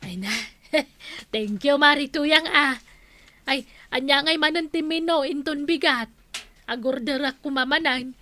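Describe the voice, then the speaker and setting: High, bright, lazy lady customer. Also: measured pace, a little hiss or hum. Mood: cheerful, easygoing. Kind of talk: Ilocano radio comedy drama acting.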